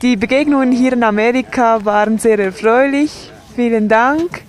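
A woman voicing a sign language sports report and interviews in a measured, steady way. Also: a little high.

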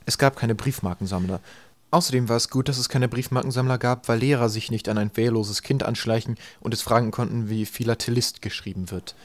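A man reading a comic story as an audiobook narrator, in a dry, deliberate storytelling manner. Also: mid-range voice, fairly even intonation, dry recording. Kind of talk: scripted literary narration, storytelling.

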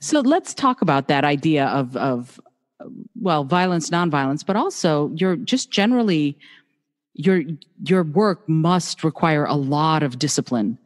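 A woman's voice: sits low, expressive, a little slow, in a large hall. A woman born in Moldova, hosting a podcast and chatting casually with her guest. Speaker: podcast host. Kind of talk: casual interview.

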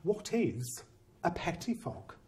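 Animated Welsh man giving a teachers' talk. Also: fairly high pitch, takes it slowly. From Wales. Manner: animated and theatrical, acting out quoted voices, teasing the audience. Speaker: head teacher, conference speaker. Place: conference training room with seated audience.

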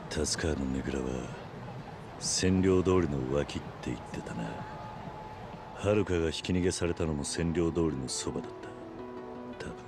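An actor playing a stoic, brooding middle-aged ex-yakuza in a video game, speaking tersely and gravely. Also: male voice, a bass voice, normal speed, over music. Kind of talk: video game cutscene acting.

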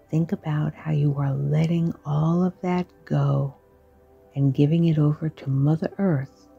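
A woman guiding a sleep meditation in a slow, soft, partly whispered voice. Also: low voice, creaky, husky, lo-fi sound. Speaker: meditation guide.

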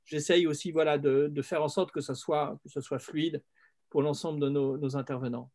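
A man's voice: on the high side, fairly even intonation, moderate pace, a little mellow. A man moderating an online panel on a video call, polite and hesitant, often repeating words.

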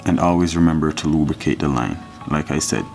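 Low-voiced man, relaxed how-to host, talks over music. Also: a little fast, vocal fry. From Jamaica. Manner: relaxed, step-by-step instruction with counting. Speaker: fishing channel host. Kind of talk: casual hands-on tutorial.

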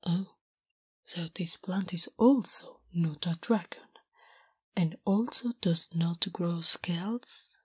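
Woman voicing a slow, whispering orc warrior woman. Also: lowish voice, no room sound, heavily acted. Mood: curious. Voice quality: breathy, soft whisper. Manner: halting, literal, third-person stilted speech. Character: big orc warrior woman, gentle, naive, protective. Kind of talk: whispered ASMR roleplay.

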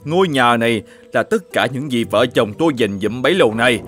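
A man playing an earnest village neighbour in an animated fairy tale, polite but quick to take offence. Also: medium pitch, soft background music, natural voice. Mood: offended.

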